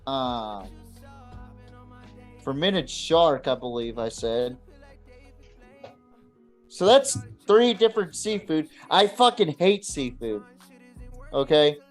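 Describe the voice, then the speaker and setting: A man co-hosting a podcast, chatting casually to viewers in a blunt, swearing, rambling way. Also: on the high side. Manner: profane, blunt. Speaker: podcast co-host.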